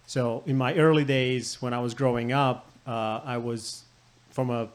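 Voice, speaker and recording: A man from Croatia, a patient guest on a health panel, telling his story briskly and matter-of-factly. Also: medium pitch, fairly even intonation.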